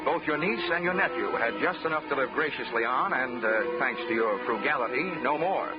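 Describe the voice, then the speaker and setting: A dry, wry insurance investigator, played by a man in a 1940s radio drama. His voice sits at an ordinary pitch, with easy, knowing narration. The broadcast is muffled, with music under it. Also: animated, fairly quick, natural voice.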